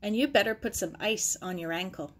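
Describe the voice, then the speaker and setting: A woman's voice: mid-range voice, fairly quick, fairly bright tone, close to an everyday voice. Woman as confident, plucky young girl. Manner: confident, reassuring. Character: reassuring, plucky young girl in 1940s Canada.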